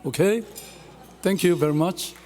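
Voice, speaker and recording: A man delivering a short, formal congratulatory address, with deliberate, slightly halting phrasing. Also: high-pitched, in a large hall, noisy background. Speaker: head of government addressing the event.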